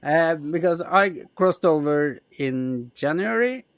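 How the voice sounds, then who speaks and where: A man's voice: fairly high pitch, lively intonation, slow pace, a little hiss or hum. A man hosting a podcast, introducing the episode and interviewing guests. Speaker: podcast host.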